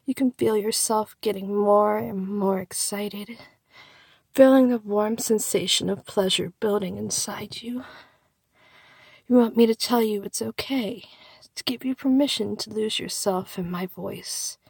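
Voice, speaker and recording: A woman delivers an intimate, seductive erotic monologue close to the microphone, breathy and husky, moving between soft murmurs and whispers. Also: mid-range voice, a little slow, dry recording. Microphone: close microphone, dry.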